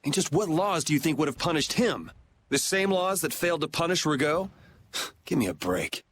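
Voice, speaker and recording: A man's voice: on the high side, sing-song, normal speed, fairly bright tone, slight background noise. A man playing a cynical, defiant young vigilante swordsman in a dubbed anime game, with a blunt, sardonic delivery. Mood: bitter, defiant.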